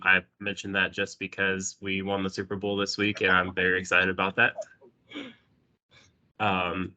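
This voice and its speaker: A man with a cold giving a remote technical presentation over a call, sounding congested, often clearing his throat and saying um. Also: on the low side, bright, forceful tone, poor audio. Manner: frequent throat clearing and ums. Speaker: presenter at a user group.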